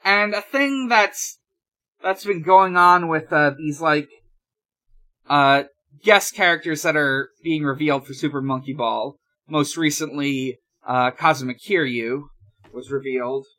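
A man co-hosts a casual podcast in a bright, keen-edged voice. He speaks very slowly, feeling his way through each thought with hesitant pauses. The tone is conversational, like someone sharing news with friends. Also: fairly high pitch. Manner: halting, hesitant delivery with drawn-out pauses. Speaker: podcast host.

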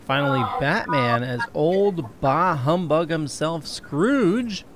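A man's voice: a little high, animated, takes it slowly, noisy background. A man in a casual group call, talking with hesitant, stammering restarts before reading an introduction. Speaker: participant reading the comic intro. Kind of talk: casual chat and read-out intro.

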